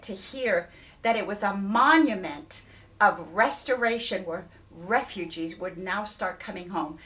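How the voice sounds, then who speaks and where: A woman's voice: mid-range voice, fairly bright tone. A woman in her fifties, a charity director, thanking supporters in an earnest, grateful way from an indoor office. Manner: earnest and grateful, with emphatic restarts. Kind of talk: thank-you address to supporters. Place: indoor office beside a wall map.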